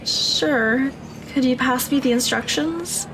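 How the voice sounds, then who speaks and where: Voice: high-pitched, slow, a bit bright, soft background music, full character voice, low-quality recording. A woman playing a precise, matter-of-fact teen girl. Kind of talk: audio drama acting.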